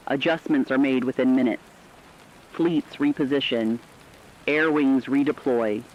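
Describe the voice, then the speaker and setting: A man voicing a serious radio correspondent, delivering a scripted catastrophe report in a sober, deliberate, dramatic way. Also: medium pitch, muffled recording, a little hiss or hum, lightly acted. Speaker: news correspondent.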